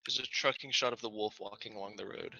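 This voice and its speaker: A man's voice: lowish voice, steady tone, fast talker, gravelly, bright, forceful tone, no room sound. A man reading camera shot directions at a script read, with muffled video call audio.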